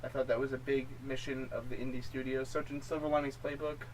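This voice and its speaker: A man talks casually as the co-host of a film review show, explaining his opinions in a mid-pitched voice. He sounds a little distant from the microphone, with faint noise in the recording. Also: flat delivery, fast talker.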